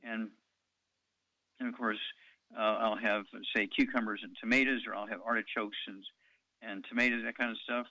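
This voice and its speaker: A man's voice: on the low side, level tone, moderate pace, bright, forceful tone, no echo, rough recording. A man in his eighties hosting a call-in radio show, talking in a rambling way with many ums and uhs. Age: 80s.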